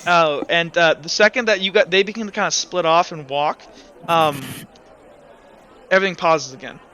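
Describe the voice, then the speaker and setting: A man's voice: fairly high pitch, moderate pace, bright, forceful tone. A young man running a tabletop roleplay game as game master, talking casually with many fillers and restarts.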